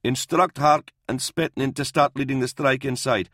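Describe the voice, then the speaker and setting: A man voicing a brisk, composed army captain in an audiobook, giving clipped commands. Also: medium pitch, fairly even intonation, a bit bright, no room sound, studio quality, pitched above the performer's own voice, natural voice. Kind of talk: audiobook character voice in military science fiction.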